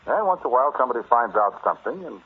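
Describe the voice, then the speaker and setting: An actor playing a brash, sardonic American amateur archaeologist, talking straight at the listener in a 1940s radio drama monologue. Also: male voice, mid-range voice, big pitch swings, racing, breathy edge, narrow, tinny audio. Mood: scornful, insistent. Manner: conversational, sardonic, dismissive, addressing the listener directly. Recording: noisy, band-limited 1940s radio recording.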